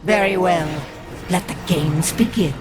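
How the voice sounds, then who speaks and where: A woman's voice: fairly low pitch, unhurried, background noise, lightly acted. A woman voicing a scheming witch who leads a coven, cold and taunting, from a video game.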